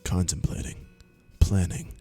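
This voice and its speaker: A man in his twenties with a strained, tiring voice, reading a visual novel aloud in a slow, deliberate storybook manner with dry self-mocking asides. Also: dark tone, soft background music. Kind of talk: dramatic read-through of game text with asides to friends.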